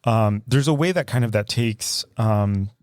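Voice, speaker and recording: A man co-hosting a recovery podcast in casual chat, speaking in a thoughtful, earnest way with frequent ums and pauses. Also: fairly low pitch, a little mellow, clear, resonant tone, dry recording, clean studio sound. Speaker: podcast co-host.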